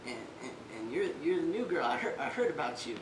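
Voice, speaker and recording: A woman's voice: deep voice, big pitch swings, fast. A performer playing a shy, kindly, bumbling man in a stage play, stammering nervously with folksy phrasing. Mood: flustered, shy. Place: stage in a roomy hall. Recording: distant, echoey, noisy stage recording.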